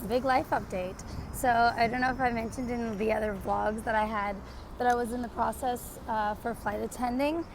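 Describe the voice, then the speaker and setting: A woman's voice: fairly high pitch, steady tone, normal speed, creaky, noisy background. A woman in her twenties from Canada chatting in a casual life-update vlog, rambling with lots of fillers, recorded on a phone. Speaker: vlogger. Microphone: phone camera.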